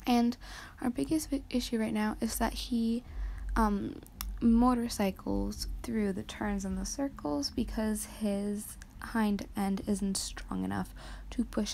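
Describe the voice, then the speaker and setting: A teenage girl from Canada talking softly over her riding footage, explaining each step with frequent hesitant ums. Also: medium pitch, vocal fry, a little hiss or hum. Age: teens. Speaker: vlogger.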